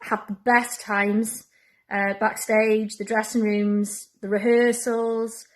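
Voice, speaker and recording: Slow-spoken woman with mid-range pitch. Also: little pitch movement. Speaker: amateur pantomime company member. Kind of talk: testimonial to camera.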